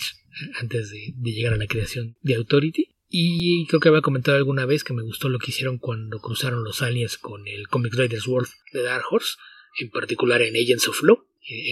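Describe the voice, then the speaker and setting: A man co-hosting a podcast, chatting casually about comics and movies in a wry, relaxed way and reading listener questions aloud. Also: medium pitch, breathy edge, distant mic. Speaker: podcast co-host.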